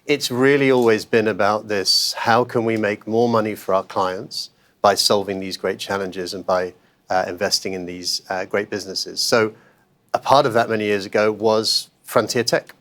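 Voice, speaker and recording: Man guesting on a podcast, lowish voice, chatty, lots of uhs and restarts. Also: fairly even intonation, normal speed, a bit bright. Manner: conversational, with many "um"s and self-corrections. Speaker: interview guest, investment manager. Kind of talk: podcast interview.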